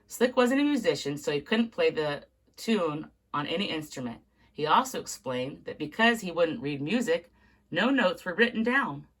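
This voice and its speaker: A woman reading a book aloud in an expressive storytelling manner. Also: deep voice, normal speed, slightly rough. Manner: expressive read-aloud, carries on through interruptions. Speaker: book reader.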